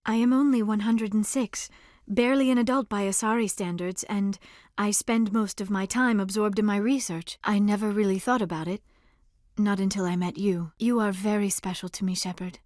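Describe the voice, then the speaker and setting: An actress playing a gentle, earnest, shy young alien researcher in a video game, speaking in careful, formal, measured phrases. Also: female voice, medium pitch, no echo, clean studio sound, close to an everyday voice. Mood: shy, tender. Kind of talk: video game dialogue acting.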